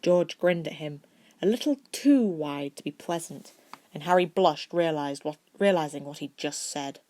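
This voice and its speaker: A woman reading fiction aloud as an amateur audiobook narrator, with expressive storytelling. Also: low-pitched, moderate pace. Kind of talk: scripted narration of fan fiction.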